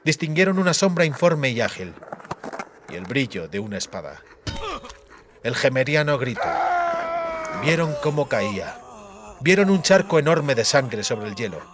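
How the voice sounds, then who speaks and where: A man's voice: medium pitch, sing-song, moderate pace, pauses often, background noise. A man narrating a fantasy audiobook in a dramatic, scripted style.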